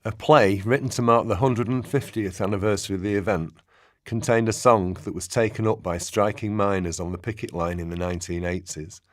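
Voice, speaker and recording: A man playing an earnest narrator, giving measured, formal documentary-style narration in a radio play. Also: on the low side, fairly even intonation, no echo, studio quality, lightly acted.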